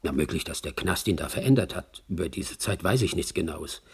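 An actor playing a chatty, slightly hesitant witness recalling an old acquaintance in a radio play. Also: male voice, low-pitched, lively intonation, fairly quick, a little mellow, breathy edge, heavily acted. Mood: reflective, gossipy. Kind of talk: radio drama monologue, conversational.

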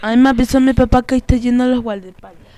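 A woman's voice: a little high, steady tone, fast talker, warm tone, lightly acted. A woman improvising as an evasive, boastful rich friend, casual and dismissive, in a drama exercise. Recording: noisy amateur recording with clicks.

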